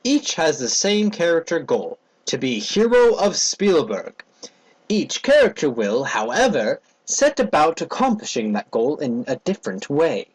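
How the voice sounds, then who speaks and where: A man narrates a game manual as a dramatic reading. His voice sits a little high and rises and falls widely. He enunciates deliberately, with theatrical emphasis on the phrases. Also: breathy edge, rough recording.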